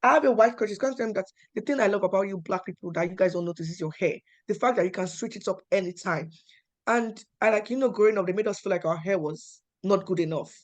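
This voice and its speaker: A young adult woman from Nigeria with a Nigerian accent, telling personal stories at a talk in an animated way and acting out the dialogue. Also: mid-range voice, fast. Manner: animated, acts out quoted dialogue with emphatic repetition. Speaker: panel speaker.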